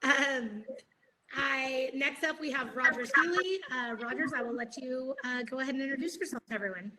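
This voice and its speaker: A woman's voice: high voice, normal speed, bright, forceful tone, poor audio. A woman moderating an online video-call panel, chatty, with many filler words.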